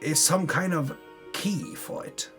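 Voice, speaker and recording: Higher-voiced man reading dramatically over music. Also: slow pace, a bit bright. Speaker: reader of a tabletop campaign recap. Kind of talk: dramatic reading of a story recap. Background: music under the voice.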